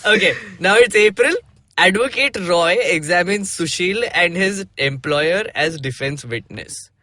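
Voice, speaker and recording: A man's voice: sits high, a little slow, bright, forceful tone, no room sound. A man with an Indian accent hosting a casual podcast, reading a comic theatrically and breaking off for playful asides. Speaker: podcast host.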